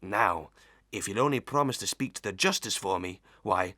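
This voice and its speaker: Man as a rambling village busybody, lowish pitch, forceful bright tone, wheedling, self-important, melodrama audio play. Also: animated, moderate pace, dry recording, clean studio sound. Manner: rambling, proverb-spouting, self-important, hemming with throat-clearing. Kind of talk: Victorian melodrama audio acting.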